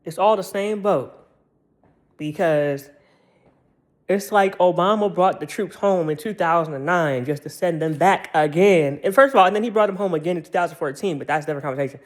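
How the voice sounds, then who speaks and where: A man's voice: high voice, brisk. An American man in his thirties giving a casual, opinionated monologue in a sassy, slangy and emphatic way, often repeating his phrases. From United States. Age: 30s. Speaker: commentator.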